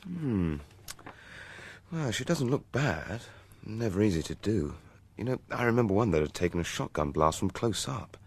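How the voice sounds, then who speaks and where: Deep-voiced, world-weary local policeman. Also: big pitch swings, moderate pace, creaky, breathy edge, heavily acted. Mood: matter-of-fact. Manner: sceptical, matter-of-fact. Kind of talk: radio drama dialogue.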